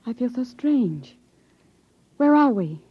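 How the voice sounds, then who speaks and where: An actress playing a devoted young scientist in a 1950s radio drama, with an earnest, breathless, melodramatic delivery. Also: female voice, fairly high pitch, big pitch swings, takes it slowly, a little hiss or hum. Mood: weary, fearful. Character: devoted young female scientist fleeing an underground future society. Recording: muffled, husky-sounding 1950s radio recording.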